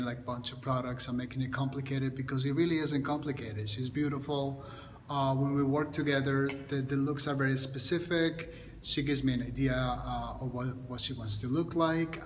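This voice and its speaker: A man, giving a makeup tutorial to camera and often stopping to restart and repeat words. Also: medium pitch. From Colombia. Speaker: makeup artist. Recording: long reverb, faint background hiss.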